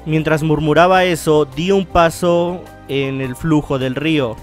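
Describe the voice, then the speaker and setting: A man with a slightly high voice hosts a visual novel let's-play. He talks casually and reads on-screen text aloud, stumbling and restarting phrases. Soft game music plays behind him. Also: fairly even intonation. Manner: halting read-aloud with stumbles and self-corrections. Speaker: gaming channel host.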